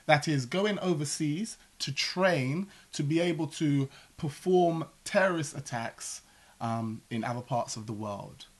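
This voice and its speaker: A man's voice: on the high side, unhurried. A young man co-hosting a casual religious commentary chat, playful and jokey as he tells a story. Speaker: co-host.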